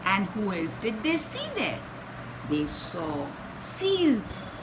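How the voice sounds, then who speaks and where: A woman reading a picture book aloud to young children, expressively, pausing to ask them simple questions and explain things. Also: medium pitch, wildly swooping pitch. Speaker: children's storyteller and channel host. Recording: clear background noise.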